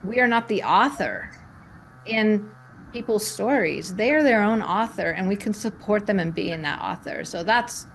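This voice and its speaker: Woman on a panel, medium pitch, rambles informally, some noise behind her. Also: animated, normal speed, creaky. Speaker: panelist working with clients. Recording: noticeable background noise.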